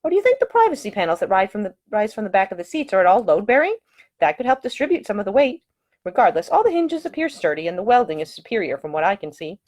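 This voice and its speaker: A woman voicing an earnest, brisk young female traveler with a precise, matter-of-fact way of talking, in an audiobook romance. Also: medium pitch, big pitch swings, a little mellow, pitched above the performer's own voice. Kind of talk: acted dialogue in an audiobook romance.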